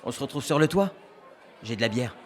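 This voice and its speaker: A man playing an anxious, cautious young sidekick in a French audio drama, excitable and protesting in slangy speech. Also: medium pitch, a little fast, a faint crowd, slight background noise, lightly acted. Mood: casual.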